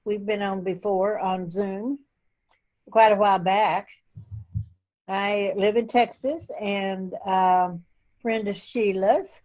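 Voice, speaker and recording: An elderly woman in her eighties talks slowly on a video call. She rambles from thought to thought with plenty of pauses. Her pitch sits right in the middle. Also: steady tone, darkish tone, poor audio. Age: 80s. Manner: rambling, meandering talk with frequent pauses. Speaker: call participant. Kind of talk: casual video-call chat.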